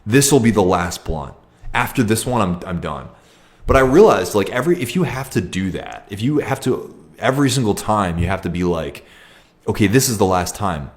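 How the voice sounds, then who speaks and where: A young man hosting a casual livestream Q&A, talking reflectively and haltingly with many ums and restarts. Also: lowish voice, animated. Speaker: livestream host. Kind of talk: casual livestream Q&A, reading comments.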